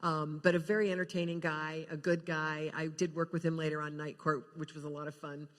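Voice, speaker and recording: A woman's voice: deep voice, flat delivery. Older woman reminiscing in an echoey hall. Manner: halting, repeats words as she goes. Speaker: guest actor sharing film memories. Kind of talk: panel reminiscence, anecdotal storytelling. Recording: echoey hall with long reverb.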